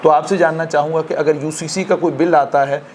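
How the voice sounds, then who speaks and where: Male interviewer, pitch a little above average, formal and polite, lengthy Urdu-flavoured questioning, some background ambience. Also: fairly even intonation, brisk, a little mellow, slight background noise. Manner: long, wordy, polite questions in Urdu-leaning phrasing. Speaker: news interviewer.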